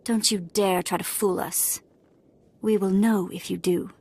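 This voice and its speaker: Proud, intense young Jedi student. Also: female voice, medium pitch, fairly even intonation, measured pace, darkish tone, breathy edge. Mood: guarded. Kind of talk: scripted video game acting.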